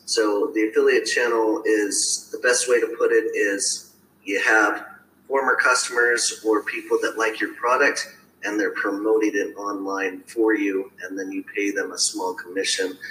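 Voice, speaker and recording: A man with a raspy voice, a guest talking casually in an easygoing, upbeat way. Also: fairly low pitch, bright, forceful tone. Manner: easygoing, upbeat, many "uh" fillers. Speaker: guest, affiliate marketing coach. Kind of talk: casual interview talk. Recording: roomy echo, faint background hiss.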